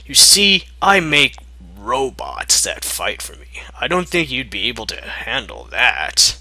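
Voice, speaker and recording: A man's voice: mid-range voice, wildly swooping pitch, measured pace, sharp tone, no echo, heavily acted, low-quality recording. A man voicing a guarded male fighter and outsider in a story reading. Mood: boastful. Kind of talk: fan fiction character reading.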